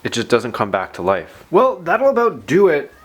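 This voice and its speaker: Casual, rambling man showing off software. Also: a little high, wildly swooping pitch, moderate pace. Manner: informal, rambling, playful asides. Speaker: tech channel host. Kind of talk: casual chatty software demo commentary. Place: indoors at a desk in a room.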